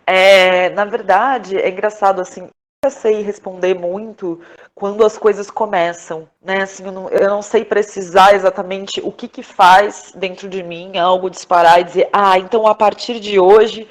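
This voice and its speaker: A Brazilian woman from Porto Alegre speaking reflectively as an interview guest, halting and searching for her words. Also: medium pitch, fairly even intonation, low-quality recording. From Porto Alegre, southern Brazil. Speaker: podcast guest, theatre director, playwright and actress.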